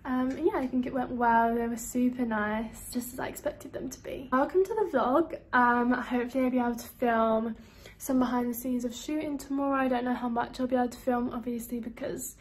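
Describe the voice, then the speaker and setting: A woman's voice: on the high side, a little fast, a little mellow. A woman in her twenties chatting casually to her phone camera in a hotel room, upbeat and full of fillers. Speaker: vlogger. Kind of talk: casual vlog chat to camera. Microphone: phone camera held close.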